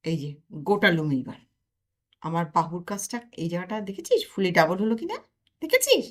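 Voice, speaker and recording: A woman's voice: on the low side, huge pitch swings, fairly quick. Lady doing a cocky young gym guy, bouncy voice, bossy. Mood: showing off. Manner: lecturing, showing off. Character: boastful young man, exercise enthusiast.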